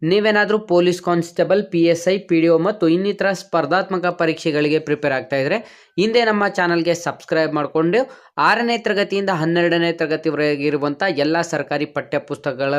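A teacher hosts an online exam-prep lesson in a medium-pitched voice. The delivery is instructional and addressed straight to viewers. Key phrases get repeated in the manner of someone coaching students through a quiz. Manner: repeats questions and answers, instructional.

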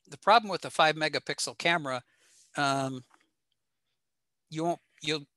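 A man with a bright, forward voice gives an informal technical presentation. His delivery is plain-spoken and matter-of-fact. The sound is dry and close, with very little room in it. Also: medium pitch, no room sound. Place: indoor home room, over a video call.

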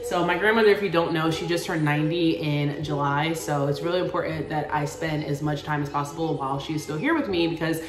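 Lady in her 20s vlogging from her kitchen, kinda low voice, roomy echo. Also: brisk, few pauses. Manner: chatty and animated, talks to camera with hand gestures. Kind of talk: casual day-in-the-life vlog. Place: home kitchen, indoors. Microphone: camera on a stand, a few feet away.

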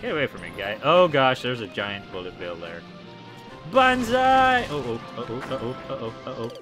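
Hoarse young male let's player, animated and silly, game music in the mix. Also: medium pitch, normal speed. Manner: goofy, excitable, lots of ums and likes. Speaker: let's play gamer. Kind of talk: casual gameplay commentary.

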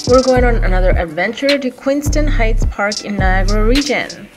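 A woman hosts a family travel vlog in a friendly narrating voice, posing history questions to the viewer. Her voice sits a little high. A music track plays clearly underneath. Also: normal speed. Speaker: vlog host. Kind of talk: scripted narration.

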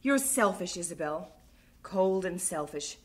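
A woman's voice: on the low side, animated, unhurried. An actress playing a stern, reproachful middle-aged mother in a radio drama, cutting and scolding.

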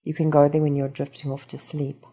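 A woman leading a guided meditation, speaking in a calm, soothing and unhurried instructional manner. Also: sits low.

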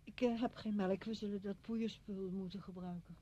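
A woman's voice: medium pitch, fairly even intonation, normal speed, warm tone, slightly rough, close to an everyday voice. An actress playing a withdrawn, bitter, defensive woman living alone in a radio drama, speaking curtly and reluctantly. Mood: evasive, reluctant. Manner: curt, reluctant. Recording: dull, noisy old radio play recording.

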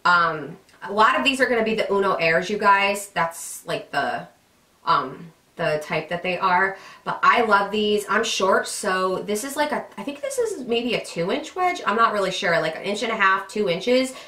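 Chatty, bright-voiced woman vlogger, stuffed up. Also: medium pitch, animated, normal speed, roomy sound. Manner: chatty, gushing, many fillers. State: stuffed up, slightly nasal. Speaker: beauty vlogger. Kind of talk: casual product recommendations to camera. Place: pink bedroom studio with vanity mirror and lit tree.

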